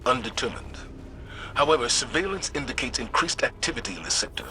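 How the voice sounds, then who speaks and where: A man playing a grave military leader in a video game, speaking in a formal, measured, authoritative way. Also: medium pitch, lively intonation, sharp tone, faint music behind. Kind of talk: dramatic video game voice acting.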